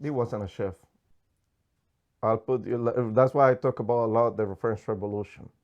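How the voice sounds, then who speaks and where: A man's voice: medium pitch, fairly even intonation, normal speed, a little mellow, muffled recording. A man from Colombia with a Colombian Spanish accent, a native Spanish speaker, talking passionately and emphatically as a guest economist in an interview. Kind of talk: candid political interview.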